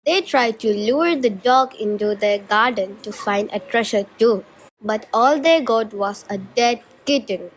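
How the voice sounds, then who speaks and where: High-voiced young female storyteller. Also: a little slow, a little hiss or hum, rough recording. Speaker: student narrator. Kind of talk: scripted storybook narration.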